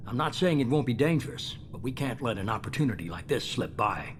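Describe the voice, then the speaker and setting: Casting: male actor as calculating older intelligence boss for a video game, measured authority, mid-pitched, with noise behind him. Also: animated, close to an everyday voice. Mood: grave, urgent. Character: shadowy, calculating older male spymaster giving covert orders.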